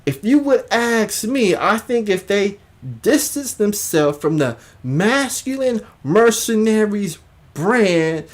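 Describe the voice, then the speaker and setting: Slow, deliberate livestream commentator, emphatic and repetitive. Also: mid-range voice. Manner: emphatic, repeats phrases for emphasis. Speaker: YouTube commentary host.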